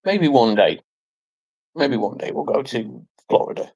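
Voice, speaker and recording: A man in his forties chatting casually on his vlog, at an ordinary middle pitch. He tosses out dry, offhand jokes in an easy manner. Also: very animated, a little slow, darkish tone, husky, muffled recording. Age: 40s. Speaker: vlogger. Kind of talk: casual chat.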